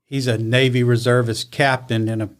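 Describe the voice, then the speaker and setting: A middle-aged man speaks as a guest on a podcast. He answers calmly and briefly, with a dry, understated delivery. His voice sits at a middle pitch for a man. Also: moderate pace. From born in Italy, raised in the US. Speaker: guest, criminal defense lawyer. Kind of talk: casual interview answers.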